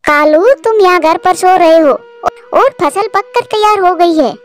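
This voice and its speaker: A woman's voice: very high voice, unhurried, faint music behind. A woman voicing a lazy, scheming female bird in a children's cartoon. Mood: prodding. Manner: self-indulgent, conniving. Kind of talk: Hindi children's cartoon voice acting.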